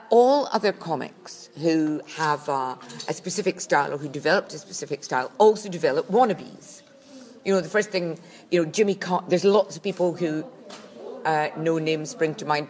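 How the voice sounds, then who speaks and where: Loud, grumpy woman co-host with a lower voice, bickering on a phone-recorded podcast. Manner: blunt, grumpy and sardonic, loud. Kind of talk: bickering casual podcast chat. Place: busy charity shop. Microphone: phone held up by co-host.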